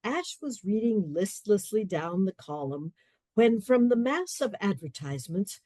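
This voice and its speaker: A woman narrating a radio play in a measured, literary storytelling manner. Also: medium pitch, big pitch swings, warm tone, no echo.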